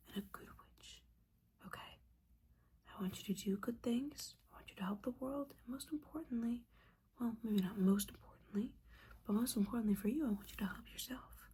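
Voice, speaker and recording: A mellow, hushed witch mentor acted by a woman scolds her student in a whispered ASMR roleplay. She is judgmental and sassy yet caring underneath, tossing in casual asides. A faint noise sits behind the voice. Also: mid-range voice, natural voice. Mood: concerned, caring.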